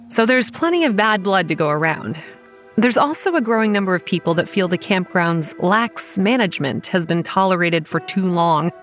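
Casting: woman as anxious yet dry young female lead narrator, horror audio drama, measured storytelling over soft music. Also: fairly low pitch, lightly acted. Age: young adult. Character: Kate, wry, anxious young woman running a campground. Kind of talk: scripted first-person horror narration.

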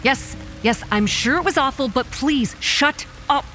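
A woman playing a young campground manager, narrating a horror audio drama in a grave, measured way with a dry edge. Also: high-pitched. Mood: exasperated, sarcastic. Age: young adult. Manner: grave, measured storytelling with dry sarcastic asides. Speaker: narrator and lead actor. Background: quiet music and rain.

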